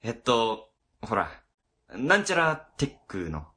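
Animated, bright-voiced male office boss acted by a man. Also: medium pitch, measured pace, exaggerated voice. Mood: breezy, talkative. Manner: casual, explanatory, animated. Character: chatty, easygoing male government office section boss. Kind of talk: Japanese web radio drama acting.

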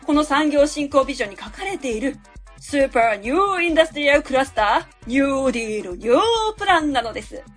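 Actress as a self-assured female consultant, high swooping voice, cold, didactic radio drama lecture. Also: animated, quick pace, faint music behind. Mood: zealous, lecturing. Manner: didactic, asks and answers own questions. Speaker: actor in a web radio drama. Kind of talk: radio drama acting, delivering a presentation.

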